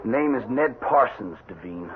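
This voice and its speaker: An actor playing a kindly, protective young tenderfoot cowhand in an old radio western, polite, folksy and eager. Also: male voice, medium pitch, expressive, measured pace, lightly acted. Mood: protective, indignant. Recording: muffled, noisy old radio broadcast.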